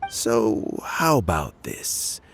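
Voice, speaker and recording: An actor playing a kindly, dignified elderly statesman in a video game, weary with age and speaking in measured, formal phrases. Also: on the low side, big pitch swings, gravelly, slight background noise, exaggerated voice. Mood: considered, genial. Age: older.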